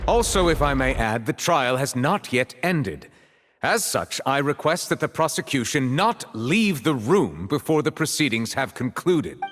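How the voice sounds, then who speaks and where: A grave, commanding chief justice. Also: male voice, medium pitch, sing-song, fairly bright tone. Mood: stern courtesy. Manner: solemn, formal, measured. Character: grave, formal male chief justice presiding over a trial. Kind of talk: video game voice acting.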